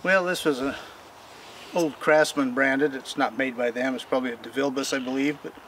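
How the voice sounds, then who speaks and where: A man's voice: mid-range voice, fairly even intonation, normal speed, a bit bright. An older man hosting a homesteading channel, casually explaining chainsaw repair in a folksy, rambling, plain-spoken way while working in his backyard. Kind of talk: casual how-to demonstration. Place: outdoor backyard workspace. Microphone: camera mic from a distance. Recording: distant outdoor mic with noise.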